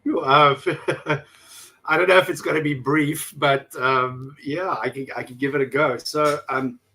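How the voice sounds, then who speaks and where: A man's voice: a little high, steady tone, measured pace, vocal fry, sharp tone. A man in his forties with a South African accent, talking as a guest in a casual interview, rambling and hesitant with many fillers. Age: 40s. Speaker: guest activist.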